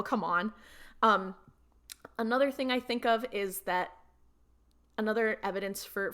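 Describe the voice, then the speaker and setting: A young woman with a mid-range voice chats casually as a podcast co-host. She retells scenes in an animated, slangy way, dropping in quotes as she goes. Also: mid-range voice. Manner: animated storytelling, frequent quoting and slangy asides. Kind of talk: casual fan chat.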